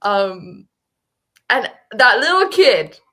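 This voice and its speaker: A woman's voice: a little high, drawn-out words. A young woman co-hosting a casual book club discussion, chatting animatedly and filling her talk with "like". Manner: chatty, heavy use of "like", animated.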